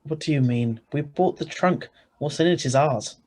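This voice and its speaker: A man as a wry, husky, stubborn husband. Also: fairly high pitch, moderate pace, darkish tone, close to an everyday voice. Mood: eager, stubborn. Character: stubborn, greedy ordinary husband, wry and brisk. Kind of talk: radio thriller narration and dialogue.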